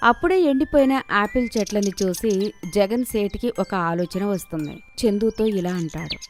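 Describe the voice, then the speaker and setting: A woman narrates a scripted tale for children. Her pitch is medium for a woman. Soft music with light chimes plays behind her. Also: moderate pace. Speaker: storyteller.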